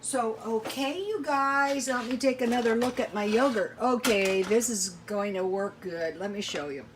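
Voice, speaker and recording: A woman's voice: on the high side, normal speed, noisy background. A woman chatting casually to viewers while cooking at home, thinking aloud in a rambling, folksy way. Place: home kitchen.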